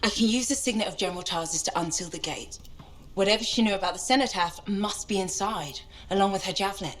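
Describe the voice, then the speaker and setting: A woman's voice: mid-range voice, fast talker, natural voice, rough recording. A woman playing a driven, serious cipher in a video game, speaking with emphatic authority. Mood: composed, resolute. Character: grave, driven female cipher, intense and commanding. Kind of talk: video game cutscene acting.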